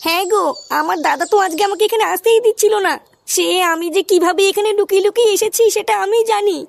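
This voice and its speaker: A woman's voice: very high-pitched, quick pace, vocal fry. A woman voicing an anxious young girlfriend in a comedy cartoon, pleading and fretful. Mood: worried. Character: anxious, secretive young girlfriend fearful of her brother.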